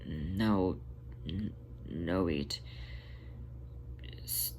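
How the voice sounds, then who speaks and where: A narrator voicing a sick, starving adult male cat, halting and stammering in a strained, choppy near-whisper. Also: low voice, darkish tone, slight background noise, voice pushed down. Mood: weak, pained.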